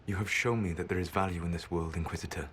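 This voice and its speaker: An actor playing a weary, regretful but resolute ancient mage in a video game, speaking in a grave, measured, formal way. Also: male voice, low-pitched, fairly even intonation, slight background noise. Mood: solemn, resolute. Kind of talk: dramatic video game acting. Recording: loud orchestral game music behind.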